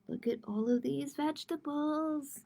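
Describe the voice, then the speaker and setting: Female storytime host, higher-pitched, expressive delivery for young children and parents, reading aloud and chatting informally. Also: takes it slowly, creaky. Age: adult. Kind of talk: reading picture books aloud with informal asides to viewers. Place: live-streamed online storytime.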